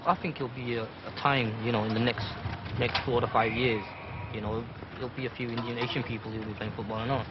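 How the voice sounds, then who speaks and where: A man's voice: medium pitch, flat delivery, fast. A man, a football fan, giving chatty interview answers full of fillers, recorded on muffled 1980s audio with noise behind him.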